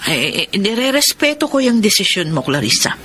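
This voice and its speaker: A woman's voice: medium pitch, very animated, normal speed, bright, forceful tone, a little hiss or hum. An actress playing a concerned, reproachful mother counselling her grown daughter, solemn and admonishing in a Tagalog radio drama.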